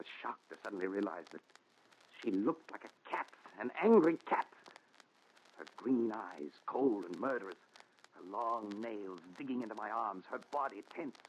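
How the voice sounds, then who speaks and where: A man's voice: medium pitch, close to an everyday voice. A man playing a tormented, regretful, bitter husband in an earnest, melodramatic 1940s radio thriller style. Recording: noisy, dull, crackly 1940s radio recording.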